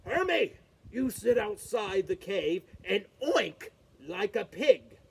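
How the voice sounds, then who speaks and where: A woman's voice: mid-range voice, sing-song, takes it slowly, creaky, slightly rough, exaggerated voice. A woman voicing a bold male prospector giving orders in a storybook read-aloud.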